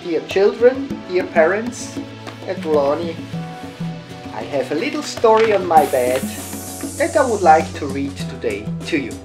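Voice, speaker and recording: A man in his fifties reading a bedtime story aloud for children in an expressive, deliberate way, as a lodge host. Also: fairly high pitch, over music. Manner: slow, expressive, deliberate phrasing. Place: lodge bedroom, lying in bed.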